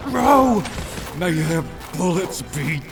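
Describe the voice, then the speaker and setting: An actor playing a hearty, defiant sailor in command of rowers, calling out drawn-out rallying orders. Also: male voice, sits high, sing-song, over music, heavily acted. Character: hearty, defiant boarding boat leader driving rowers. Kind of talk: cinematic audio roleplay acting.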